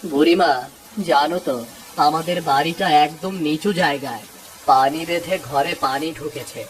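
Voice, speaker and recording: A man's voice: on the high side, normal speed, a little hiss or hum, natural voice. Man voicing a humble, pleading poor villager. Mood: plaintive. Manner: pleading, deferential, plaintive. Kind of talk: Bengali moral-story cartoon dub.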